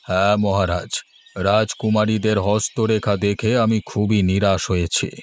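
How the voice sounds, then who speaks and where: Man voicing a deep, solemn old-tale holy sage. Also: level tone, moderate pace, natural voice. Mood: solemn, foretelling. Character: stern, solemn wandering holy man, fortune-teller sage. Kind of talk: Bengali fairy-tale cartoon dubbing.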